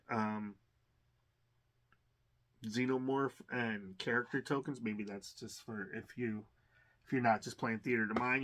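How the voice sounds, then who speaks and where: A man's voice: medium pitch, sharp tone. A man hosting a casual unboxing video, thinking aloud with lots of fillers and restarts, enthusiastic about each item he pulls out. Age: adult. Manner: rambling, halting, many fillers and restarts; enthusiastic about what he finds. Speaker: unboxing channel host.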